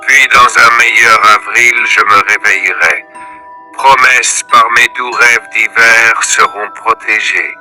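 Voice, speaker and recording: Male poetry reader: very bright, forceful tone, slow measured declamation, scripted recitation over mallet music. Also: medium pitch, steady tone, rough recording.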